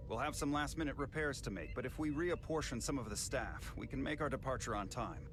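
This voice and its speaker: A man's voice: mid-range voice, lightly acted. Man playing precise starship engineer over music. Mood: composed. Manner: measured, technical. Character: formal, precise male starship engineering officer, unemotional. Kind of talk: cinematic sci-fi game acting. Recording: dramatic music underneath.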